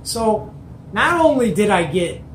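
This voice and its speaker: A middle-aged man telling a casual story to camera as host, in an animated, emphatic, colloquial way. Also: sits high, a little slow. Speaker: host of a CB radio show. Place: small indoor hobby radio room. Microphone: camera or phone at arm's length. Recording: roomy echo, background noise.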